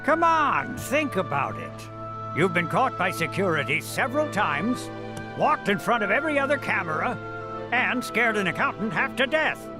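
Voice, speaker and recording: An actor playing a smug, theatrical mayor in a video game, with a pompous, sly, drawn-out delivery. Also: male voice, high voice, big pitch swings, over music. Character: theatrical, smug male mayor with secret loyalties. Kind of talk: dramatic video game acting.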